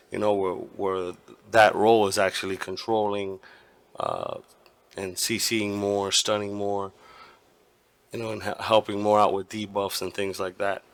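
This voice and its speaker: A hoarse man hosting a gaming channel, giving his opinion casually, rambling and restarting often and swearing now and then. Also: lowish voice. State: hoarse, partly lost voice.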